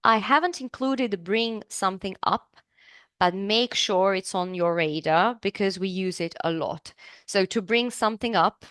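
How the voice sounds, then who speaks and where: A woman's voice: mid-range voice, unhurried, fairly bright tone, clear, resonant tone, no room sound, clean studio sound. A woman from Serbia, teaching business English in a live online class, upbeat and chatty, asking the class questions.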